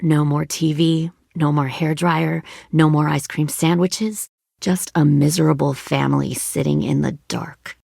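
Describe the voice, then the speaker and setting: A hardened female survivor leader from a video game drama, middle-aged and menacing. Her voice sits low with a heavy gravelly creak, captured very dry and close. She talks like someone used to giving orders and making threats. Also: fairly even intonation, unhurried, warm tone, no echo, exaggerated voice. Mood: calm, bitter storytelling. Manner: cold, threatening, commanding.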